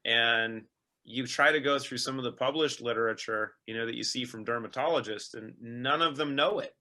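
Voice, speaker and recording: Bright-voiced male host explaining earnestly. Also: medium pitch, moderate pace. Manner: earnest, explanatory, filler-heavy with "we can say". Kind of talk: interview questions and commentary.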